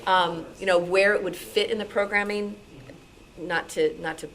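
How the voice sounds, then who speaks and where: A woman's voice: mid-range voice, steady tone, moderate pace, fairly bright tone. A woman giving informal, encouraging answers as a radio show panelist at a meeting. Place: meeting room. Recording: noisy live meeting room.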